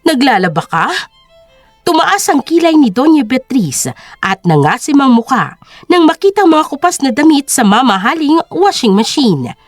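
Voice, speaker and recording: A woman narrates an audio drama in a high, very expressive voice. The delivery is scripted storytelling, recorded dry with soft music underneath. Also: normal speed, dry recording.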